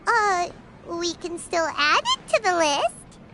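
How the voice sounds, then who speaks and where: A woman voicing a small, excitable, food-loving fairy companion in an anime-style game, squeaky and childlike, who speaks of herself in the third person. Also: slow pace, very noisy, full character voice. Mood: pondering.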